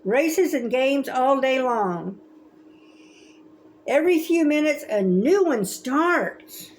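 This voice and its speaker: A woman in her seventies reading a children's story aloud in a gentle, expressive, grandmotherly way. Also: sits high, slow pace. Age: 70s. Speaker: storybook reader.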